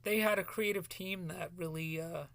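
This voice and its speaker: A man talking solo in a rambling podcast commentary, halting and restarting often, his voice cracking as he loses it. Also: sits high, fairly even intonation, creaky, a bit bright, dry recording. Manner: halting, many restarts and fillers. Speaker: podcast host.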